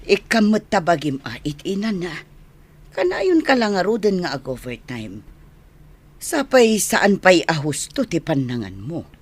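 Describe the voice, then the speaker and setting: A husky, nagging Filipino wife. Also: female voice, fairly low pitch, big pitch swings, a little slow, exaggerated voice. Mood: nagging concern. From Philippines. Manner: scolding, concerned. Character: caring, nagging wife of a workaholic. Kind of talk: Philippine radio drama acting.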